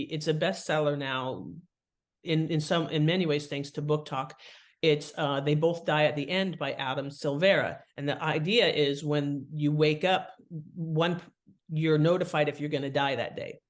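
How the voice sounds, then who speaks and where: Woman explaining in a conversational way. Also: low voice. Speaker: guest author and writing expert. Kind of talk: interview explanation, conversational advice.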